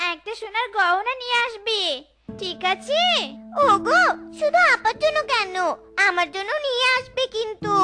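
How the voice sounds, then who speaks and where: A woman voicing a demanding, greedy young fox wife in a comic cartoon, whining, bossy and insistent. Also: extremely high pitch. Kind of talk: cartoon voice acting in a comic folk tale. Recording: loud tinkly cartoon music behind the voice.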